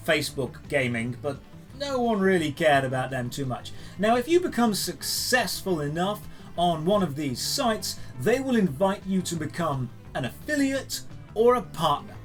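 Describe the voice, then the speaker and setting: A man hosting geek news commentary, speaking in an emphatic, opinionated and sarcastic manner. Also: high voice. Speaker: host of a daily geek news show. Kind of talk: scripted news commentary to camera.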